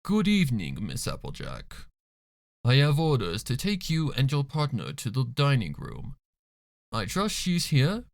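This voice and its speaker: Storybook pony butler, male narrator acting: composed, polite, grave, unhurried delivery. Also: wildly swooping pitch, gravelly, heavily acted. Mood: courteous. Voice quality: low voice. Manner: polite, deferential, measured.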